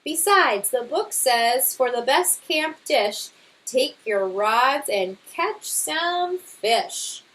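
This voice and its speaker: A woman's voice: high voice, animated, very slow, fairly bright tone, full character voice, rough recording. A woman voicing an eager, helpful young bear scout in a storybook read-aloud, earnest and emphatic. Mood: doubtful.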